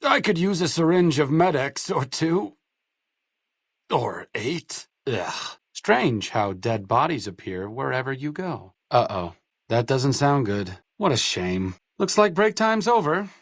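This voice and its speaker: A man's voice: mid-range voice, big pitch swings, takes it slowly, no echo, heavily acted. A man playing a wry, bookish doctor companion in a post-apocalyptic video game, with dry, sardonic and articulate delivery. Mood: weary, sardonic, then alarmed.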